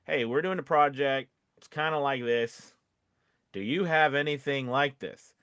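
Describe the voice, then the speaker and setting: A man's voice: medium pitch, a little slow, fairly bright tone, clean tone, no room sound, studio quality. A man co-hosting a casual advice chat, informal and encouraging, often acting out little example quotes and checking that he is understood. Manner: informal, encouraging, often checks in with "you know what I mean". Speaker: podcast co-host and voice-over coach.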